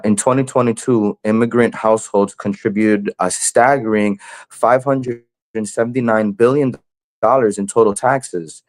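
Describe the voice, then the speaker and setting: A man hosting a talk show in an upbeat way, addressing viewers and a guest. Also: lowish voice. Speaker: show host, community finance coach.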